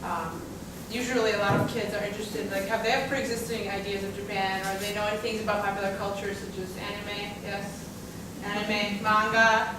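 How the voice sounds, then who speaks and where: A woman's voice: medium pitch, little pitch movement, normal speed, hardly any pauses. A young woman from Russia whose first language is Russian, chatting on a panel about her own story with many fillers and asides. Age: young adult. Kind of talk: casual personal story on a panel. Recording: echoey room, far from mic, background noise.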